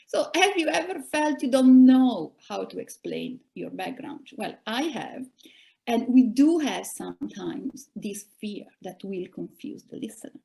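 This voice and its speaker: Italian-accented woman coach, slightly gravelly. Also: on the high side, dark tone. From Italy. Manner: measured, advisory, addresses listeners directly. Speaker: career coach. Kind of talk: webinar presentation.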